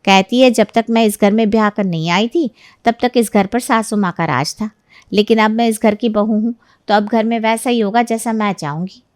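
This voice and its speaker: A woman's voice: medium pitch, normal speed, a little mellow, smooth, no echo, clean studio sound, natural voice. A woman playing a jealous young married neighbour, falsely sweet and sly, in a dramatized moral story. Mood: sly, insinuating. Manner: sly, insinuating, mock-hesitant.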